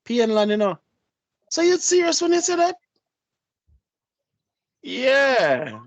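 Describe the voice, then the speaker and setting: A man's voice: extremely high pitch, big pitch swings, slow, no room sound. Jamaican man telling a street story. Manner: storytelling, heavy patois, repeats phrases. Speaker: podcast co-host. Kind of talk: casual chat.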